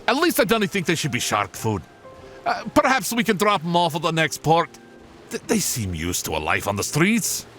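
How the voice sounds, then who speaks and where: A bashful, good-hearted Scottish gunner aboard a sailing ship. His mid-pitched voice swoops up and down as he hesitates and feels for words. Music plays behind this audio drama performance. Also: wildly swooping pitch, fairly bright tone. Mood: earnest, deferential. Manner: halting, hesitant, stammering. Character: kindly, bashful ship's master gunner.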